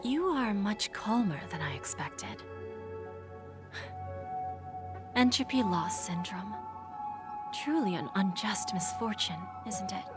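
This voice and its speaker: A woman voicing a poised, calculating pawn-shop owner in a dramatic video game, speaking in a measured, insinuating way that turns breathy at phrase ends. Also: medium pitch.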